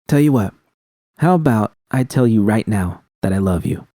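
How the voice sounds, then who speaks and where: A man's voice: lowish voice, expressive, normal speed, mellow, no room sound, clean studio sound, close to an everyday voice. A man voicing an affectionate, salty-tongued young woman with a casual, slangy way of talking, in audiobook fiction. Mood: playful, loving.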